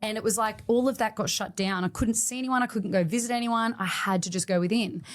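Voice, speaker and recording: A woman's voice: mid-range voice, a little fast. Emphatic woman telling her story. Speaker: guest, studio owner. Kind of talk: candid podcast interview.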